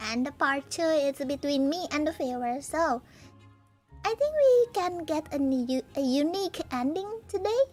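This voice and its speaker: A young Indonesian-accented woman streams live, chatting and giggling as she reads out comments. Her voice is high and light, and soft background music plays faintly behind her. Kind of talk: casual live-stream chat.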